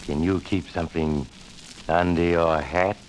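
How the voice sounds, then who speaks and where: An ominous doctor storyteller, very deep. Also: male voice, fairly even intonation, a little hiss or hum, poor audio. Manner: measured, ominous. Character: eerie doctor who narrates grim tales. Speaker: narrator. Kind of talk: 1940s radio narration. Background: music.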